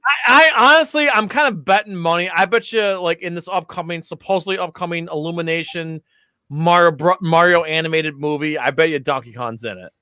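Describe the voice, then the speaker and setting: A man co-hosting a casual podcast chat who gets excited, laughs often and trips over his words. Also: a little high, brisk, clear, resonant tone, no room sound, studio quality. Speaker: podcast co-host.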